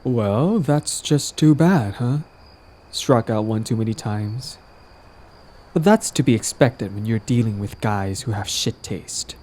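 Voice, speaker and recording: A man's voice: fairly low pitch, animated, takes it slowly, a little mellow. A man playing a smug, possessive young villain in a romantic audio drama, talking in a casual, teasing, cajoling way. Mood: smug, coaxing. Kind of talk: roleplay audio drama, conversational acting.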